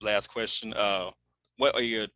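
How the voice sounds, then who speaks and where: Bright-voiced male interview host. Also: on the low side, unhurried, clean tone, no echo. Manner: relaxed, hesitant, many fillers and restarts. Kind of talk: casual interview.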